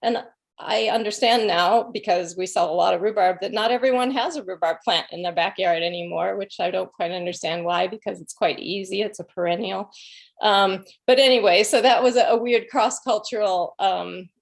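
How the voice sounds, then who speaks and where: A woman's voice: mid-range voice, normal speed, vocal fry. A middle-aged woman, a guest speaker telling rambling, anecdotal stories and chuckling between phrases. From Illinois, USA. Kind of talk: conversational storytelling.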